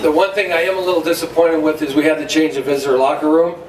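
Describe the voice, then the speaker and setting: Middle-aged coach rambling a bit, stumbling on words, echoey and far off. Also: male voice, fairly high pitch, fairly even intonation. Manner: halting, repeats words, many fillers. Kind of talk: off-the-cuff press conference remarks. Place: conference room at a stadium. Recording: echoey room from far off, noisy.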